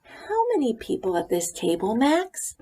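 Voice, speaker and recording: A woman voicing a bossy, organized young girl bunny, speaking brightly and with emphasis. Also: a little high, very animated, unhurried, husky, full character voice.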